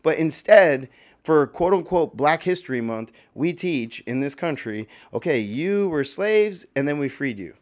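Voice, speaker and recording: A man with a slightly hoarse voice, talking in a casual, rambling opinion monologue full of fillers, with emphatic bursts and swearing. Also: on the high side, lively intonation, unhurried.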